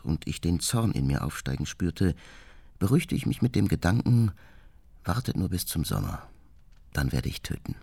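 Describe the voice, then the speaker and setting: An actor playing an embittered, vengeful bomber hermit reading his secret diary in a radio drama, gravelly and husky with controlled anger. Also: extremely low pitch, a little mellow, no room sound, full character voice. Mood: brooding, vengeful.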